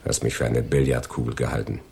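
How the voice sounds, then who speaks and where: An actor playing a cold, gloating criminal in a radio crime drama, taunting and deliberate in his delivery. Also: extremely low pitch, little pitch movement, vocal fry, darkish tone, slightly rough, full character voice. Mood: accusing, scornful. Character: cold, gloating male criminal double-crossing his accomplices.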